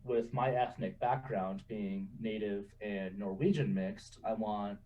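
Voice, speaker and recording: A man in his twenties telling stories in a relaxed, chatty way, laughing often and saying "you know" a lot. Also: lowish voice, slow pace, recorded from a distance, highs cut off. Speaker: guest. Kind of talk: casual interview storytelling.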